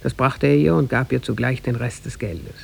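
Gravelly, husky male storyteller. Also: medium pitch. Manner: measured, formal storytelling. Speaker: narrator. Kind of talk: scripted storybook narration for 1950s radio.

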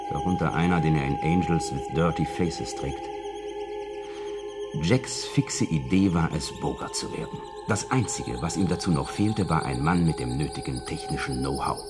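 Secret agent in radio drama, extremely low voice, terse and factual, music underneath. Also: male voice, moderate pace. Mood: factual, intent. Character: matter-of-fact male secret service investigator briefing a colleague. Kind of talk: 1970s German radio play dialogue.

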